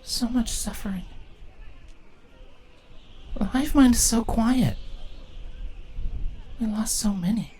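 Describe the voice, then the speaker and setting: A woman's voice: a little high, slow pace, pauses often, dark tone, slightly rough, low-quality recording. A woman voicing a young, earnest and weary pony princess in a dramatic story reading. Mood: sorrowful. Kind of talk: dramatic fan fiction audio reading.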